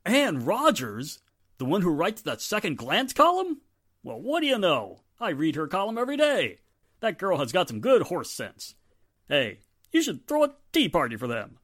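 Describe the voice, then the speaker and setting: A man's voice: sits high, huge pitch swings, normal speed, a bit bright, husky, heavily acted. A man acting a jovial, teasing, blustery small-town 1940s husband in a radio drama, jocular and full of exclamations.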